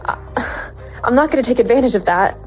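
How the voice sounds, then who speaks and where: A woman's voice: on the high side, animated, normal speed, natural voice, low-quality recording. Woman acting moody lady PI, noir radio drama narration, torn up inside, music underneath. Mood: awkward, gentle. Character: brooding, conflicted female private investigator. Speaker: narrator and lead actor. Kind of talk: noir first-person audio drama narration.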